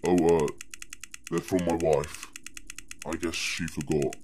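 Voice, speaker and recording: An evasive murder suspect in a horror game, speaking in an extremely deep, rumbling voice. He drags out every word and keeps his answers flat and dodging. A steady noise sits under the game audio. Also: slow.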